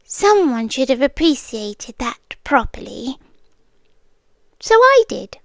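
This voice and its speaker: Brief: a British woman as a lovesick kidnapper, high and unhurried, murmuring into a dry close mic. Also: big pitch swings, creaky, dry recording, full character voice. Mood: calm obsessive. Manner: soft-spoken, often slipping into whispers. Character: obsessive romantic young woman kidnapper, calmly sincere. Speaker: ASMR roleplay creator. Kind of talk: ASMR roleplay.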